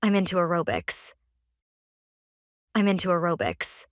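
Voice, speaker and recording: A woman reading everyday English phrases clearly, each one twice, for learners. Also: on the low side, measured pace, dry recording, clean studio sound. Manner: clear, careful, even delivery. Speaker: phrase reader.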